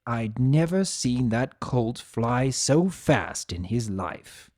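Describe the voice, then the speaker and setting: A narrator giving an expressive dramatic reading of a scripted story. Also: mid-range voice, slow pace, dry recording, clean studio sound. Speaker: narrator of a fan fiction reading. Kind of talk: dramatic scripted narration in first-person character.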